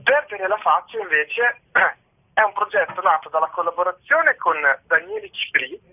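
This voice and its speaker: A man being interviewed as a theatre-maker, explaining his work reflectively and pausing to search for words. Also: high voice, very animated, vocal fry, husky. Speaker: theatre company member, interview guest. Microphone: telephone. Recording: narrow tinny phone line.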